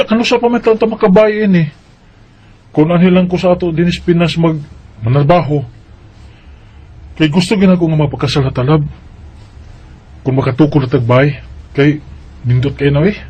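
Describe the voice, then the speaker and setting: A man's voice: a little high, normal speed, long pauses, darkish tone, close to an everyday voice. A man acting an earnest, hesitant Bisaya partner who explains his plans in a colloquial radio drama style. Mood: coaxing, earnest. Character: earnest Bisaya man saving for land and marriage. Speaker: radio drama lead and letter narrator. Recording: dull lo-fi radio drama recording.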